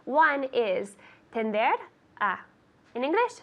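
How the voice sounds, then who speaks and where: Female language teacher with a higher-pitched voice, encouraging, drilling and correcting phrases in an interactive lesson. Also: very animated, takes it slowly, highs cut off. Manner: encouraging, repeats words to model pronunciation. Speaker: English teacher and presenter.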